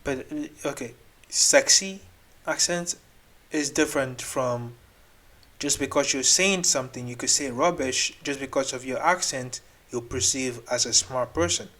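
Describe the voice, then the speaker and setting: A man's voice: medium pitch, unhurried, a bit bright. A man hosting a reaction video, talking back at clips in a rambling, exasperated way. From Nigeria. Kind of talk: casual reaction commentary.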